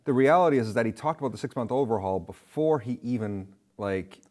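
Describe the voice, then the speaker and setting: A middle-aged man chatting casually on a film show in a rambling, halting way, often interrupting himself. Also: mid-range voice, a little mellow. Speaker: film discussion show panelist. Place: indoor studio with curtains, seated.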